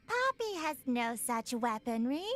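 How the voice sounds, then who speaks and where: A woman's voice: a little high, big pitch swings, a little slow, fairly bright tone, soft background music. A woman voicing a perky, childlike robot girl in a video game, with a chirpy manner. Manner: chirpy, speaks of herself in third person.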